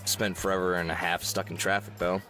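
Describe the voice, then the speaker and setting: A man's voice: low voice, flat delivery, a little fast, sharp tone, faint music behind. A man playing a kindly, easygoing, slightly weary older brother with a folksy manner in a visual novel.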